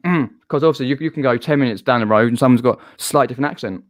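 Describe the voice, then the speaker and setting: A man's voice: mid-range voice, racing, dry recording, clean studio sound. A man with a southern English accent hosting a casual live-stream chat, rambling and chatty with frequent restarts. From southern England.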